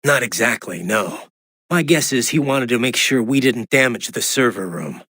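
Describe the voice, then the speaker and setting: An actor, a man, playing a gruff, wry cyborg soldier in a video game, with a gravelly, raspy voice and a laconic, informal way of talking. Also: medium pitch, lively intonation, normal speed, a bit bright. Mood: wry, casual. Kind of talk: scripted video game voice acting.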